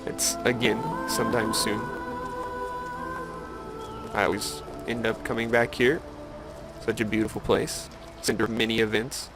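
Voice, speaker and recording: Creaky-voiced man rambling over game music. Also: fairly low pitch, little pitch movement, unhurried, pauses often, low-quality recording. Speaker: gameplay video host. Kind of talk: casual rambling game commentary.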